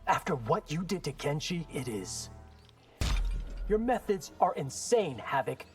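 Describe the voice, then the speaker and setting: A man's voice: fairly high pitch, sing-song, unhurried, soft background music. An actor playing an earnest, determined young male ninja in a video game. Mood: defiant.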